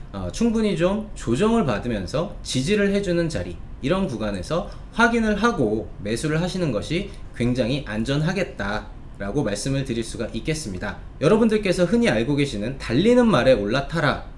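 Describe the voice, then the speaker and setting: Man, stock show host, animated intonation, slightly high voice, formal polite delivery, drawn-out hesitations. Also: a little hiss or hum. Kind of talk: presenting stock picks to online viewers.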